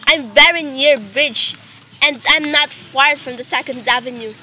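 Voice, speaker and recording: A woman's voice: sits high, unhurried, pushed and bright, a little hiss or hum, full character voice. A woman playing an anxious, demanding invalid wife in a radio play, fretful, pleading and dramatic. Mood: insistent, worried.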